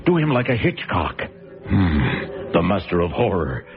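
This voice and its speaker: A man's voice: low-pitched, wildly swooping pitch, measured pace, crowd noise, heavily acted. An actor playing a man muttering to himself and scheming in a radio drama. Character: scheming middle-aged male TV producer. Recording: tinny radio recording with loud music.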